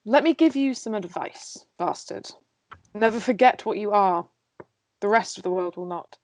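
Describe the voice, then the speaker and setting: A woman's voice: medium pitch, normal speed, a little mellow, dry recording, natural voice. Casting: woman as sardonic, world-weary drunken dwarf nobleman, blunt, fantasy script read, close dry sound.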